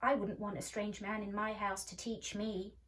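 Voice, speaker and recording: A woman's voice: mid-range voice, little pitch movement, normal speed, a bit bright, distant mic, lightly acted. A woman voicing a stubborn, scornful schoolgirl in a storybook, with an argumentative, doubtful manner. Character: stubborn, scornful schoolgirl who dislikes lessons.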